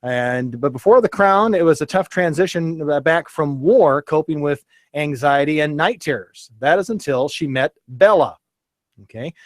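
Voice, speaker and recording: A man's voice: a little high, normal speed, no room sound. A man hosting a local radio show, chatting casually and reading a story aloud with many fillers and restarts.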